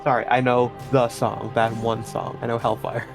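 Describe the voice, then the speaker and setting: A man's voice: medium pitch, level tone, moderate pace, gravelly, music in the background. A man from Trinidad with a mixed Trinidadian and New York accent, chatting casually on a stream and laughing often. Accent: Trinidadian and New York mix.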